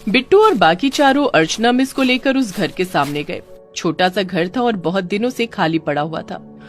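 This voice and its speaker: A woman's voice: mid-range voice, expressive, moderate pace, faint music behind. A woman reading scripted narration for a children's moral story.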